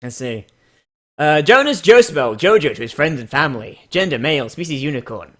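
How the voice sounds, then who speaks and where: A man's voice: a little high, big pitch swings, normal speed. A man giving casual, mocking comedic commentary as the host of a reading show. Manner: sarcastic, mocking.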